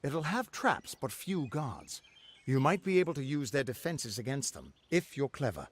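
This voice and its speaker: A man's voice: mid-range voice, big pitch swings, normal speed, echoey room, close to an everyday voice. A man playing a middle-aged, boastful but loyal veteran adventurer in a fantasy game, with a theatrical, earnest delivery. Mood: determined. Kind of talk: fantasy game dialogue acting.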